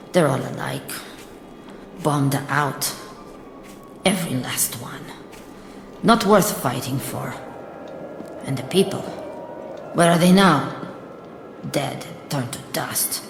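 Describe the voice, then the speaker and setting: A woman's voice: a bass voice, slow pace, pauses often, noisy background, exaggerated voice. An actress playing a weary, bitter woman soldier, a lone war survivor talking to herself in a dramatic audio drama. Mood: bitter, brooding. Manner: talking to herself, musing in fragments. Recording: echoey, long reverb, music underneath.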